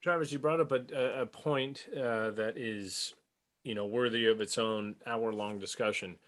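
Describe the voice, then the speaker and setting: Lower-voiced man steering a casual chat. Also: normal speed, a bit bright. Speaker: podcast panelist who steers the discussion.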